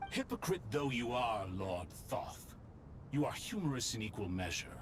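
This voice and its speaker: An actor playing a wry, mocking saint on a royal council in a video game, lofty and sardonic. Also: male voice, mid-range voice, animated, moderate pace, noisy background, close to an everyday voice. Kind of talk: theatrical video game acting. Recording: clear game sound behind voice.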